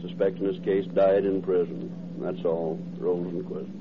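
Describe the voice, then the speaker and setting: A male police dispatcher gives a clipped bulletin in a very deep, monotone voice. It comes over a thin radio channel with clear noise and hum. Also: unhurried. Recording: tinny, noisy humming police radio.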